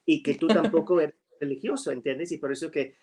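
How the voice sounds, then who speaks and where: A man whose first language is English, a writer in a casual chat over a video call, speaking slowly and hesitantly with many fillers. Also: fairly high pitch, a little mellow, slightly rough. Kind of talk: casual conversation.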